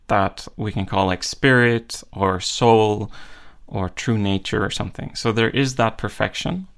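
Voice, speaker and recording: A man's voice: medium pitch, creaky, fairly bright tone. A man speaking as an interview guest, a musician reflecting casually and thoughtfully, searching for words and often saying "like".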